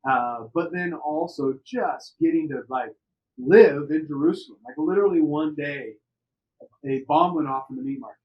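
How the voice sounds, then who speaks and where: A middle-aged man from the United States telling personal stories on a podcast in an animated, conversational way, repeating words for emphasis. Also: on the high side, normal speed, lo-fi sound. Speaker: podcast interview participant. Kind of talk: personal storytelling.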